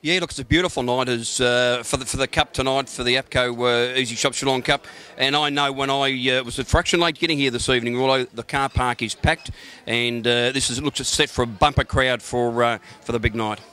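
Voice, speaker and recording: A man, a racing tipster, chatting in a relaxed, slightly halting way at a racecourse lounge. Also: mid-range voice, clean tone. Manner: relaxed, hesitant, starts with "look". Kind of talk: casual interview chat.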